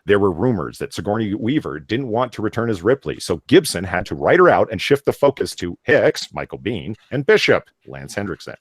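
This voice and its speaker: Animated low-voiced man, excitable podcast host. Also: a little fast, hardly any pauses, slightly rough, dry recording, studio quality. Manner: excitable, swearing, emphatic. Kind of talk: casual live chat, reading aloud at times.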